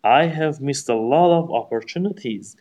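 A man from Iran with an Iranian accent, speaking English as a second language and giving a prepared speech in formal, deliberate phrasing. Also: medium pitch, darkish tone, lo-fi sound. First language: Persian. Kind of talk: practice speech, memorized.

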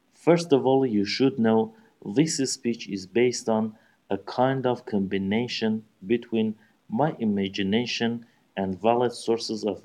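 A man from Iran with an Iranian accent, speaking English as a second language and giving a prepared speech in formal, deliberate phrasing. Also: lowish voice, a little mellow. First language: Persian. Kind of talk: practice speech, memorized.

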